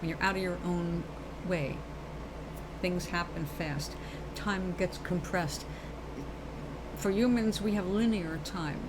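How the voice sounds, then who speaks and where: A woman's voice: on the low side, noisy background. A woman from New York with a New York accent, hosting a live show and chatting earnestly and ramblingly about spirit, calling listeners baby and darling. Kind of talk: casual spiritual talk to viewers.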